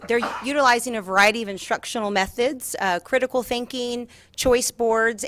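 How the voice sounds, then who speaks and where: A woman's voice: medium pitch, fairly bright tone, dry recording. A woman giving a formal presentation as a school district administrator at a meeting. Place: school board meeting room.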